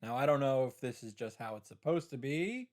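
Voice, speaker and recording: A man's voice: mid-range voice, animated, brisk, a little mellow. A man giving casual commentary on a game playthrough, with wry, self-interrupting asides.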